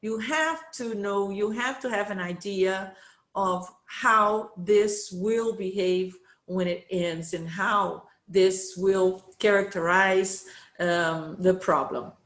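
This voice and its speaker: A woman in her fifties from Brazil, a native Portuguese speaker with a Brazilian accent, giving a halting online presentation as a university professor, with many fillers as she searches for words. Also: mid-range voice, a bit bright.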